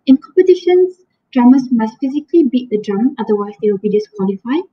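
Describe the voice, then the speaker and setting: A woman's voice: on the high side, animated, brisk, a little hiss or hum. A young woman, a student presenting a class lesson over slides, explaining carefully with some restarts on a laptop webcam. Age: young adult. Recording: dull lo-fi webcam audio.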